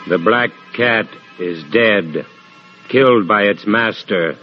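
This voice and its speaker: Deep, slow, grave horror narrator. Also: male voice, faint music behind. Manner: measured, solemn. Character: grave male narrator of a radio horror tale. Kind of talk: scripted old-time radio narration. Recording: dull old radio recording.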